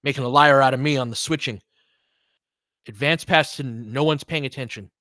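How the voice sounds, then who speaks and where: A man's voice: mid-range voice, moderate pace, sharp tone, no echo. Casual male basketball commentator, close dry sound. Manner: conversational, punchy short calls, slangy. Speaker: basketball play-by-play commentator and analyst. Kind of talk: casual live play-by-play commentary and analysis.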